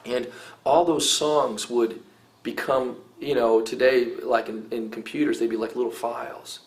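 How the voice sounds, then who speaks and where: A man's voice: medium pitch, steady tone, unhurried. A middle-aged man who sings rock, talking in a casual interview, rambling with many fillers and restarts. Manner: rambling, heavy use of "you know" and "I mean", frequent restarts. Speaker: rock vocalist giving advice.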